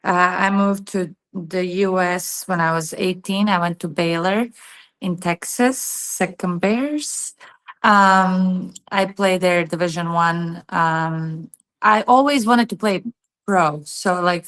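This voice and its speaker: A woman's voice: lowish voice, fairly bright tone. A woman in her thirties from Bosnia and Herzegovina, a guest telling her story in a chatty interview, full of "like" and "you know". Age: 30s. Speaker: guest, tennis player and coach. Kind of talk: casual interview chat.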